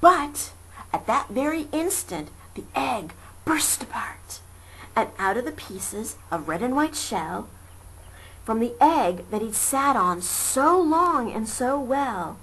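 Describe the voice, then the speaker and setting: A woman reading children's verse aloud as an amateur storyteller, dramatic and deliberate in her delivery. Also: fairly high pitch, big pitch swings, a bit bright, husky, low-quality recording. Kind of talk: expressive children's verse narration. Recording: faint noise, amateur home recording. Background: faint ambient noise.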